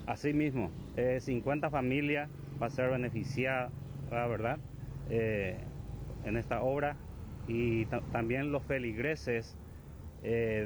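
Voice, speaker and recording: A man, talking slowly and with many pauses in a street interview about his chapel community. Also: medium pitch, noisy background. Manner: slow, hesitant, many fillers. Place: outdoors near a government office.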